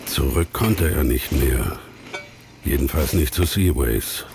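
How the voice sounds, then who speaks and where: Brooding thriller narrator, extremely low, creaky voice, audio drama, clear ambient noise behind. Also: male voice, animated, takes it slowly, exaggerated voice. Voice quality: very deep, gravelly.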